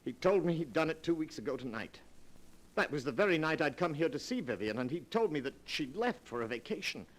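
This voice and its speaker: An actor playing a calculating murderer in a vintage radio drama, smooth and controlled. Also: male voice, fairly high pitch, very animated, fairly quick. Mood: composed. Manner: smooth and calculating, rising to hysteria. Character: cold scheming male murderer who cracks at the end. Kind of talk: 1940s radio acting.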